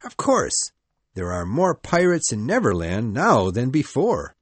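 A woman's voice: very deep voice, huge pitch swings, a little slow, husky, no room sound, voice pushed down, heavily acted. A woman reading aloud, voicing a boastful, fearless young boy leader in a matter-of-fact, cocky way.

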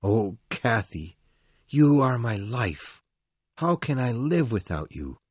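A man voicing an anguished, defiant male lover with intense, drawn-out phrasing in an audiobook. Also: medium pitch, very animated, breathy edge, no echo, exaggerated voice. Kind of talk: dramatized audiobook reading.

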